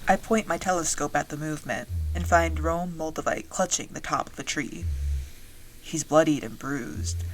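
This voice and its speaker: A woman playing a wry dragon-riding soldier, narrating a fantasy audio drama in the first person in a measured storytelling way. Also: deep voice, a little hiss or hum, lightly acted, low-quality recording. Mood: alert.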